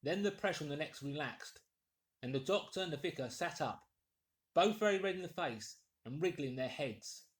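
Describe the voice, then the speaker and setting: A male narrator reads a classic story aloud. His delivery is expressive, scripted storytelling, in a voice pitched a little higher than most men's. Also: normal speed. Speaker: audiobook narrator, actor.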